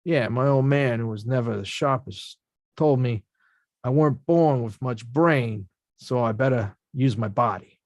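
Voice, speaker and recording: A man reading the part of a rough-talking young male boxer at a script table read, slangy and self-mocking. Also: mid-range voice, a little slow, darkish tone, no echo, studio quality, close to an everyday voice.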